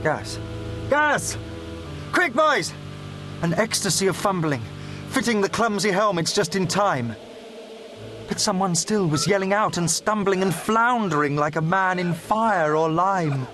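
A haunted soldier poet of the trenches, reciting verse with deliberate intensity. The delivery is very slow and grave, the voice a touch high for a man, with faint music behind it. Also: expressive, fairly bright tone. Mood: urgent, horrified. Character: haunted young First World War soldier poet. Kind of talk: dramatic poetry recitation.

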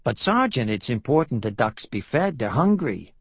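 A man's voice: mid-range voice, very animated, normal speed, slightly rough, no room sound, muffled recording. A man voicing an apologetic, eccentric tourist with a New York accent and a courteous, sheepish manner in an audiobook. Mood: apologetic, mild. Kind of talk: audiobook character voice.

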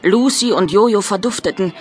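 A woman's voice: medium pitch, normal speed, slight background noise. A woman reading scripted narration for a children's audiobook.

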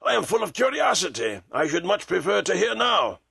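Man playing an excitable gentleman companion, high-pitched. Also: fairly quick, vocal fry, husky, no room sound, clean studio sound, exaggerated voice. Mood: eager. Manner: emphatic, exclamatory. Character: astonished, devoted gentleman companion, excitable and warm-hearted.

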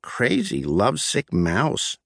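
A man voicing a flustered young female mouse secretary, squeaky and rushed. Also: wildly swooping pitch, vocal fry, fairly bright tone, slightly rough, no room sound, clean studio sound, exaggerated voice. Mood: muttering.

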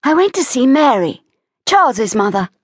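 A glamorous, daring young socialite with a bright, teasing lilt, as a woman reads her lines. The voice sits well above the reader's own, light and playful. Dry, close storybook reading. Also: high voice, very animated, moderate pace, husky, no room sound, pitched above the performer's own voice, full character voice. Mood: breezy.

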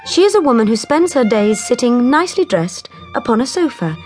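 An actress as a composed, wry storyteller, narrating a period literary drama in a measured way. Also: female voice, fairly high pitch, sing-song, darkish tone. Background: music.

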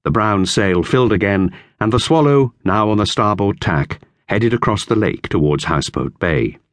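A man narrates a classic children's book in a low voice with lively, expressive intonation. His storytelling is measured, and the recording is close and dry. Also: no room sound. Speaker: audiobook narrator.

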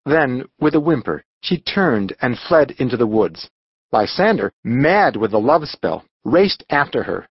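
A man narrating a scripted storybook audiobook with dramatic, expressive delivery. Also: medium pitch, husky, no echo, highs cut off.

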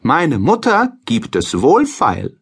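Man doing an eager, high-pitched market boy. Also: wildly swooping pitch, slow pace, gravelly, husky, exaggerated voice. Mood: eager, calling out. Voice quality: bright, high calling voice. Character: eager twelve-year-old boy hawking market goods.

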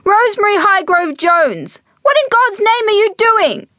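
Woman acting a high-pitched, scolding society mother. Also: animated, measured pace, no room sound, studio quality, exaggerated voice. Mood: indignant, scolding. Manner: high, sharp, hissing reprimands. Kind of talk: audiobook character voice.

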